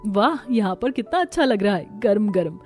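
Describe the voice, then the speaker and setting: A woman's voice: mid-range voice, very animated, normal speed, darkish tone, soft background music, lightly acted. A woman voicing a spoiled, demanding young newlywed daughter in a cartoon, whiny and entitled. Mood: pampered, bossy.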